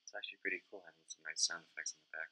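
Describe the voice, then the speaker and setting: A young man with a low voice that still carries a bright, forward edge talks through a programming tutorial. He is relaxed and informal, narrating each step as he types at his desk. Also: racing, rough recording. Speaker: coding tutorial instructor. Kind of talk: casual screen-recorded coding tutorial. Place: indoors at a desk, small room.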